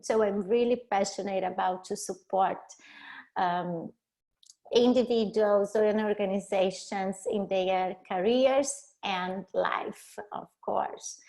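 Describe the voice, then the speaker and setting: A woman from Brazil with a Brazilian Portuguese accent, a coach giving a webinar presentation over a video call. She talks haltingly and often restarts words to correct herself. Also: medium pitch, dark tone.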